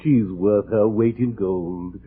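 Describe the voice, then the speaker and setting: Palace servant, lowish husky voice, polite, deferential, old radio play, muffled recording. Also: male voice, fairly even intonation, slow, full character voice. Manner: courteous, deferential. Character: deferential male royal servant. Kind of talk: 1940s radio acting.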